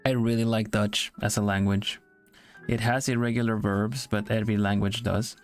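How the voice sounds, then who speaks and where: Halting man chatting, faint music. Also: on the low side, flat delivery. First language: Swedish. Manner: halting, repeats words while searching for them. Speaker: streamer. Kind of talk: casual chat on a live art stream.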